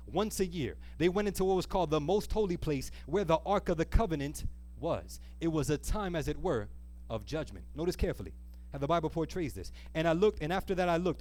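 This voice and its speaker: A man's voice: a little high, a little mellow. A man preaching an earnest sermon, reading scripture and putting rhetorical questions to listeners, stretching key phrases for emphasis. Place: live church service.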